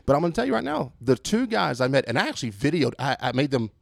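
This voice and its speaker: Texan podcast host, jokey and rambling, dry close sound. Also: male voice, medium pitch, sing-song, quick pace, gravelly, no echo. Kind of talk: casual chat. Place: home garage.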